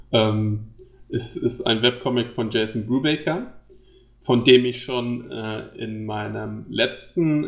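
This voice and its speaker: A man hosting a casual podcast discussion, explaining things haltingly with many fillers. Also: mid-range voice, vocal fry, roomy sound. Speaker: podcast host.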